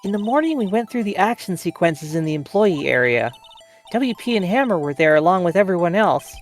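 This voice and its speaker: A woman's voice: fairly low pitch, big pitch swings, brisk, soft background music. A woman voicing a chatty, earnest young female studio assistant in an amateur video game dub. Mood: explaining.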